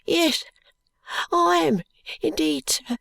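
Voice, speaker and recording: A reader voicing a destitute young street boy who cries out high and pleading. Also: animated, slow, gravelly, fairly bright tone, no echo, clean studio sound, exaggerated voice.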